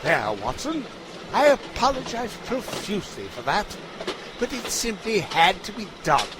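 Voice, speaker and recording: A man's voice: sits high, very animated, unhurried, background noise. A man voicing an eccentric, showy detective in a comedic audio drama, speaking in a plummy, theatrical manner. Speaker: voice actor in a fan audio drama.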